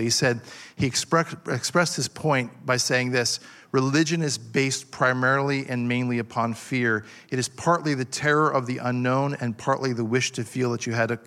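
A man in his forties preaching a passionate sermon, halting with fillers and restarts as he builds his point. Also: medium pitch, fairly bright tone. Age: 40s. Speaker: pastor.

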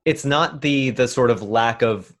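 A young man from New York City, in his twenties, speaks as a guest comedian in a loose, conversational interview. He rambles and hedges, stringing thoughts together with lots of fillers. His voice sits at an ordinary middle pitch for a man. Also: measured pace. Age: 20s. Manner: chatty, rambling, hedging with many fillers.

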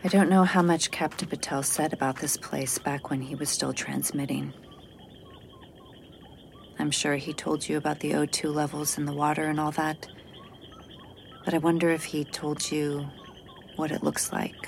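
A woman's voice: sits low, flat delivery, moderate pace, vocal fry, pauses often, slight background noise. An actress playing a stressed, weary space mission officer who is protective of her crew, in an audio drama. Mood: subdued, uneasy. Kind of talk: audio drama acting, logged report monologue.

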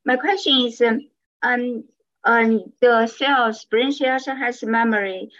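A woman in the audience asking a halting question, searching for her words. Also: on the high side, fairly even intonation, fairly bright tone. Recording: muffled lo-fi line.

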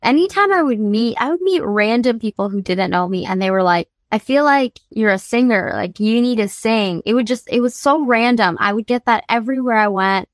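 A woman's voice: medium pitch, no room sound, clean studio sound. A young woman from the United States, a singer-songwriter guest, telling her life story candidly and earnestly, laughing easily as she talks.

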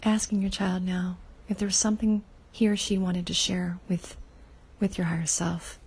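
A woman leading a guided inner-child meditation, speaking softly and slowly, often in a half whisper. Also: fairly low pitch, little pitch movement, slightly rough.

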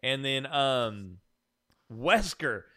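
A man's voice: medium pitch, lively intonation, sharp tone. A man hosting a casual film discussion, often repeating words as he feels for his point. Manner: halting, repeats words while thinking. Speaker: podcast host.

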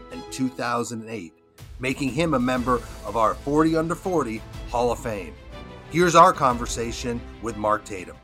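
A man's voice: on the high side, fairly bright tone. A man hosting a podcast, reading a scripted intro and then asking friendly questions. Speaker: podcast host. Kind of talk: podcast interview.

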